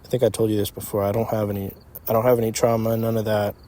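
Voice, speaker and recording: A man's voice: on the low side, fast talker. A man in his early twenties talking reflectively and casually, feeling out his phrases as he goes, in an unscripted conversation. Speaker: young entrepreneur. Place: outdoors in an empty parking lot. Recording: steady outdoor insect noise. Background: crickets and night insects.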